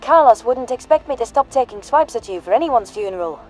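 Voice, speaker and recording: Woman acting a blunt, higher-voiced female soldier. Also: animated, fairly quick, soft background music. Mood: teasing. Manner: blunt, sardonic, swearing. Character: tough, grieving, foul-mouthed human woman soldier, widowed. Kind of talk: sci-fi audio drama dialogue.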